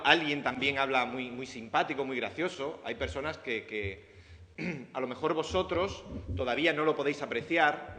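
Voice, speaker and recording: An Andalusian man with a bright, forceful voice gives a relaxed lecture to a room of students, chatty and loose, throwing questions out to the audience and often restarting his sentences. The sound is roomy, with a long hall reverb around every word. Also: medium pitch. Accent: Andalusian Spanish. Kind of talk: informal lecture to foreign students. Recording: echoey hall.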